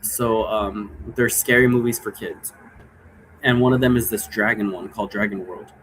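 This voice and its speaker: A man with a fairly low voice talks casually, as if working out his explanation as he goes. He drifts from one point to the next in an informal, rambling way. Some noise sits behind his voice. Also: fairly even intonation, moderate pace, a bit bright, rough recording. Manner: informal, digressive, thinking aloud. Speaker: game creator explaining role-play rules. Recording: noticeable background noise.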